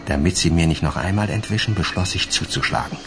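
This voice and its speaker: A world-weary male investigator in a radio crime drama. His voice sits very low and he lays things out deliberately and with gravity, while music plays clearly behind him. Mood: grave, measured.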